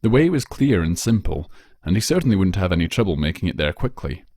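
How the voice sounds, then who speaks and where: A man reads a story as its narrator. His voice sits on the low side and moves expressively with the prose. The recording is dry, with no room sound. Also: sing-song, fast, mellow, no room sound. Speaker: narrator reading fan fiction.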